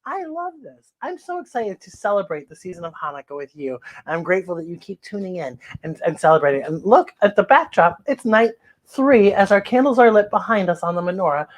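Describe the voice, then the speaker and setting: Children's read-aloud host, female, very animated delivery, effusive, repeats key phrases. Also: medium pitch, brisk. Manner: exclamatory, gushing, repeats phrases. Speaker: children's story time host.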